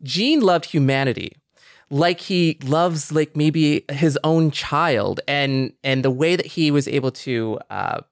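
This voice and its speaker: A man's voice: fairly high pitch, slow, dry recording, clean studio sound. A man co-hosting a podcast in reflective conversation, thinking aloud earnestly and repeating phrases for emphasis. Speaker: podcast co-host.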